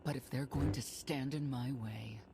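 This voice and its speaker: A hardened female knight from a sci-fi game. The voice is very deep for a woman and creaky, blunt and no-nonsense, with loud noise behind it. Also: steady tone, moderate pace. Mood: stern. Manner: blunt, stern. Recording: very noisy battle-filled game audio.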